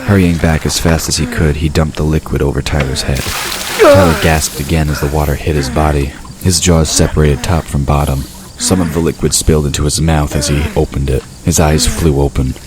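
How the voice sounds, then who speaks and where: A man's voice: extremely low pitch, fairly even intonation, fairly quick, a crowd in the background. A man narrating a scripted fantasy audio drama. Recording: noisy ambient sound effects behind.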